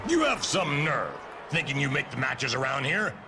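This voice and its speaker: A man's voice: medium pitch, sing-song, normal speed, over music. A man playing a pompous, self-admiring wrestling company boss in a video game, speaking theatrically. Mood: indignant command. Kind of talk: video game cutscene speech.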